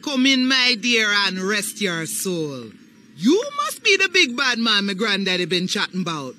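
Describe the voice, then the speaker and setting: An actress playing a sly older Haitian voodoo matriarch with a Caribbean accent, coaxing and ominous in a video game. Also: female voice, mid-range voice, big pitch swings, a little slow, sharp tone, faint music behind. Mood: eerie, teasing. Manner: coaxing, ominous, dialect phrasing. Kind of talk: video game cutscene acting.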